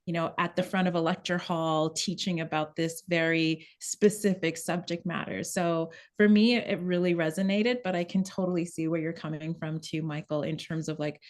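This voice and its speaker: A woman's voice: fairly low pitch, steady tone, normal speed, few pauses. A woman moderating an unscripted creative discussion, articulate and diplomatic in the way she speaks.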